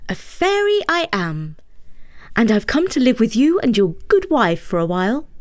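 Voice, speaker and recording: A woman's voice: on the high side, big pitch swings, normal speed. A woman voicing a tiny female fairy in a storybook tale, cheerful and self-assured.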